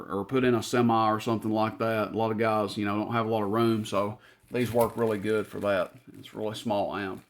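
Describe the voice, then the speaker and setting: A man's voice: lowish voice, vocal fry. A man talking casually through shop inventory as its owner, in a plainspoken, informal, folksy way. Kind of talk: casual product rundown.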